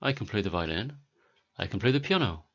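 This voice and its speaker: A British man in his forties teaching a beginner English lesson, speaking clearly and deliberately for learners. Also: on the low side, animated, muffled recording. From Britain. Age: 40s.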